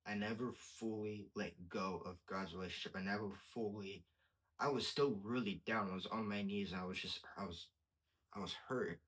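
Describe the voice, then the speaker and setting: A young man with a stutter gives a casual personal testimony as a podcast host, repeating words and pausing mid-sentence. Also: lowish voice, sharp tone, low-quality recording.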